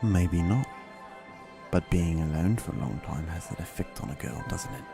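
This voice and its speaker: A deep, creaky-voiced military villain acted by a man for an audio drama. He is smug and cruel, talking with coarse, taunting contempt. Music plays clearly behind him. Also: normal speed. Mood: sneering contempt. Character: cruel, smug, sneering male army officer villain.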